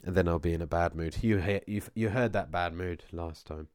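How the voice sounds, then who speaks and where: Deep-voiced man with a cold. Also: fairly quick, a little mellow. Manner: meandering, with many restarts and asides. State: has a cold, losing his voice. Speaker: vlogger. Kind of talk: casual rambling chat to camera.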